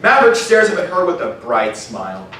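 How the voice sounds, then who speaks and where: Animated male narrator in an echoey hall. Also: high-pitched, fairly quick. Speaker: narrator of a live audio drama table read. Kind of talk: animated scripted storytelling narration. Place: large indoor panel room at a convention. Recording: echoey convention hall, distant mic, faint noise.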